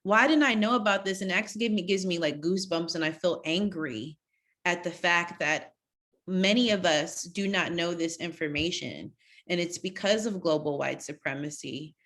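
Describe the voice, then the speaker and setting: A woman's voice: lowish voice, fairly bright tone. A woman interviewing guests on a live video call, speaking earnestly and with emphasis while she thinks aloud. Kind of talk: live online conversation.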